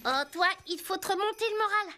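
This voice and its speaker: Very high little puppet boy. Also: fast talker. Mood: cheerful. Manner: bright-eyed, childlike, eager. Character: cheerful little wooden puppet boy, kind and eager. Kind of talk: French cartoon dub acting. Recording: music under the voice.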